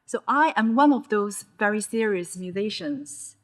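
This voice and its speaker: A woman in her thirties from mainland China, a Chinese speaker with a Chinese accent touched by Scouse, giving a conference talk in measured short phrases. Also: medium pitch, expressive. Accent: Chinese with a Liverpool (Scouse) tinge. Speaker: conference presenter. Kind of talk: personal storytelling talk.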